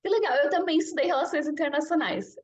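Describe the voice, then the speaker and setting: Brazilian woman teacher-host, high voice, friendly questions, muffled lo-fi audio. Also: fast. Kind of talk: casual interview.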